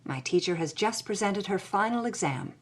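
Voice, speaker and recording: A woman's voice: mid-range voice, animated, moderate pace, slightly rough. An actress playing a hard-boiled young woman who recounts her past in a wry, anguished audio drama narration. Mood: wry, resigned.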